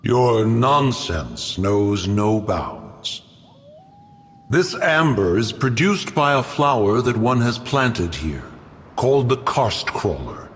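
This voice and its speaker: Haughty ancient mountain spirit, echoing. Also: male voice, lowish voice, big pitch swings, fairly bright tone, exaggerated voice. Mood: aloof, dignified. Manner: stern, archaic, stately, slow formal speech. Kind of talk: dramatic game voice acting. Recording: long echoing reverb with music behind.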